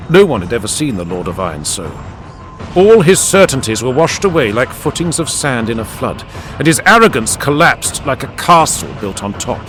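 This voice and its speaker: Lively male audiobook narrator over music. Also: mid-range voice, normal speed. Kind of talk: dramatic scripted narration. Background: music and added sound effects.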